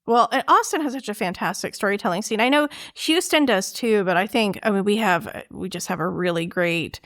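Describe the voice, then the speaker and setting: A middle-aged woman hosts a casual podcast conversation. She has an average-pitched voice and talks in an animated, anecdotal way, slipping into quoted dialogue and laughing easily. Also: fairly quick. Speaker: podcast host interviewing a storyteller. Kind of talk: casual chat.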